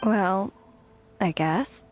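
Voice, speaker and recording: A woman's voice: medium pitch, very slow, faint music behind. A woman voicing a weary, self-doubting young working woman in an anime game, hesitant and earnest. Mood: rueful.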